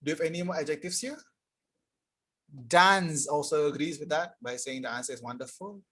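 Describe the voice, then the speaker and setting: A young man teaching an English grammar lesson live online, explaining casually and reading sentences aloud slowly. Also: a little high, fairly bright tone, husky. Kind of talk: live online tutorial reading chat answers.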